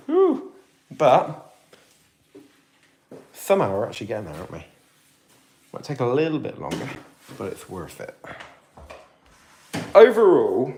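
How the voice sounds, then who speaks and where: A British man chatting casually on a live stream while cleaning, reading out and answering viewer comments. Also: medium pitch. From Britain. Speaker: vlogger. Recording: roomy home recording with faint noise.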